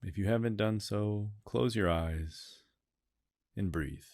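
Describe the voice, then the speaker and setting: A man leading a guided meditation, speaking in slow, soothing phrases and repeating gentle cues. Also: fairly low pitch.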